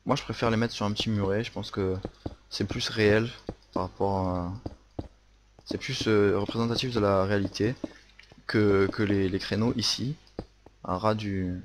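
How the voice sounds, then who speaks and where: A young man with a Marseille accent narrating a building tutorial casually, hesitant with many fillers and restarts. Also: lowish voice. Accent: Marseille French. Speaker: Minecraft building tutorial host. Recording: faint noise and clicks.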